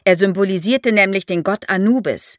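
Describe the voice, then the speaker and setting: A woman's voice: mid-range voice, normal speed, dry recording, studio quality. A woman explains history to young listeners as an expert guest, in a didactic way, posing questions as she goes.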